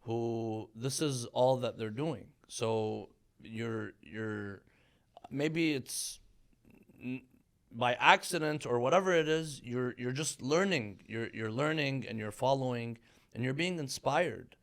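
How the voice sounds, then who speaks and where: A man in his thirties, born in Iran, a religious scholar speaking as a guest in a relaxed interview with a measured, thoughtful manner. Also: medium pitch. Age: 30s. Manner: measured, thoughtful storytelling with fillers. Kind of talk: conversational interview.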